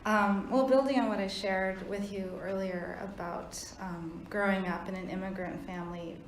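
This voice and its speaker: A woman on a discussion panel, speaking thoughtfully and earnestly with frequent pauses and fillers; her first language was Thai. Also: medium pitch, steady tone, reverberant, slight background noise. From United States. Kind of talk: reflective panel talk.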